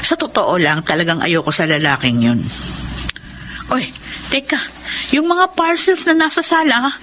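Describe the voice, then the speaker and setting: A woman's voice: on the high side, measured pace, sharp tone. A Filipino woman acting in a radio drama as a concerned, nosy middle-aged mother. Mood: inquisitive. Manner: fussing, motherly. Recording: faint background noise.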